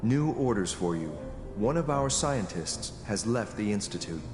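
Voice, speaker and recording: An actor playing an emotionless, dutiful android agent in a video game, speaking in a clipped, formal, flat manner. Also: male voice, fairly low pitch, measured pace. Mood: cold, businesslike. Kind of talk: video game character dialogue. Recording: echoey hall reverb, music behind.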